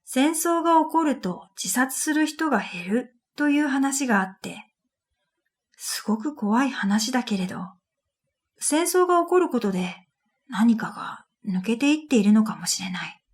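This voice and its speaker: A woman reading a scripted first-person story in a reflective, measured way, as narrator of an audio drama. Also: a little high, animated, breathy edge. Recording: background music under the voice. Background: music.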